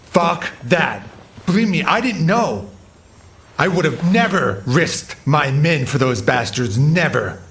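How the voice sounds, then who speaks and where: An actor playing a defensive, incredulous leader of armed guards in a video game, speaking emphatically. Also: male voice, fairly high pitch, lively intonation, slow, a bit bright, exaggerated voice. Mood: indignant, pleading. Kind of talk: dramatic video game acting. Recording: faint background ambient noise.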